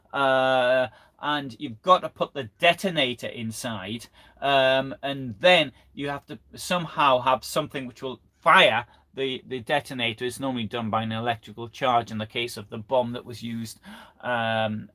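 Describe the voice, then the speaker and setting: A man presenting history in an unscripted live lecture, halting and often restarting his phrases. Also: medium pitch, pushed and bright. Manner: halting, repeats and restarts phrases mid-sentence. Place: live online broadcast.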